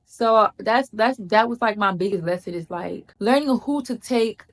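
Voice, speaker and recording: A chatty woman gives life advice straight to camera. Her voice sits at an ordinary middle pitch. She is emphatic and repeats key lines, often calling the listener "girl" or "baby.". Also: normal speed. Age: 30s. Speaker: vlogger. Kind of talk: casual advice talk to camera.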